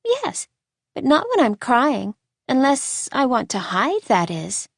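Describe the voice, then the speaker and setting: A woman acts out a curious little girl from a storybook, pitched high. She is prim, chatty and sure of her opinions, in a dry, close recording. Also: wildly swooping pitch, slow, no room sound, heavily acted. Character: bright, inquisitive, forthright young girl.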